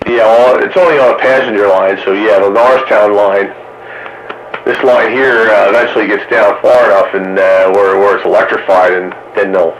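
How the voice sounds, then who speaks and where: An American man chatting casually and haltingly over two-way radio, thinking aloud as he goes. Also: fairly low pitch, steady tone. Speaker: radio hobbyist in a group chat. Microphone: two-way radio, recorded from a receiver stream. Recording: lo-fi CB radio with static.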